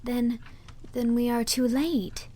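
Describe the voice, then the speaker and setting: A woman voicing an earnest, impulsive college girl in an audiobook, with a gentle, hesitant and heartfelt delivery. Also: a little high, steady tone, slow, slight background noise, voice pushed up. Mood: timid. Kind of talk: audiobook character voice.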